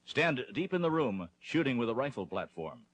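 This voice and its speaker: A man with a husky voice narrating a training film in a scripted, instructional style. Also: medium pitch, sing-song, moderate pace.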